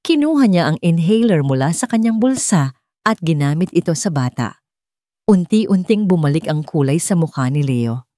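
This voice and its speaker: A woman storyteller with a slightly low voice reads a scripted drama. Her intonation moves widely, and the sound is close and dry. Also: sing-song, normal speed, mellow, no echo. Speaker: narrator.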